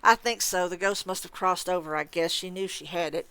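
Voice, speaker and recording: A woman's voice: fairly low pitch, fairly even intonation, fairly quick, a bit bright, smooth, dry recording. A woman voicing a wry, alarmed male wizard investigator in a story, with a tense, reluctant manner. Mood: uneasy.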